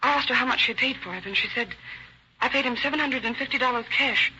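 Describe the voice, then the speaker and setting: A woman's voice: a little high, quick pace, bright, forceful tone. An actress playing a worried, loyal wife hesitantly giving her statement to police in an old radio drama. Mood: anxious, puzzled. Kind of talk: 1940s radio acting. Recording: muffled, hissy old radio recording.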